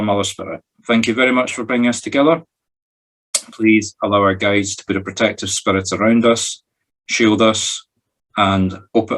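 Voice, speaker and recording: Male Scottish meditation guide, low-pitched husky voice, short spoken instructions with an improvised feel. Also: a bit bright. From Scotland. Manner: instructing in short, separate phrases. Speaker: medium leading a guided meditation. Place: recorded over a video call.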